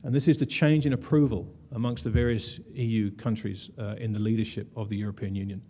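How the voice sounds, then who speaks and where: A man's voice: on the low side, steady tone, fast, warm tone. A man presenting slides at a conference, wry and conversational, with frequent "uh" fillers. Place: conference room.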